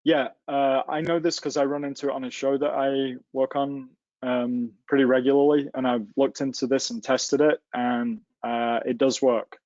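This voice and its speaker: Guy walking thru software on a livestream, casual, explains step by step, kinda muffled dry audio. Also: mid-range voice, little pitch movement, normal speed, no room sound. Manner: informal, explains step by step with hands-on examples. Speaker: instructor in a technical software tutorial. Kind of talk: casual live webinar walkthrough. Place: livestreamed online session.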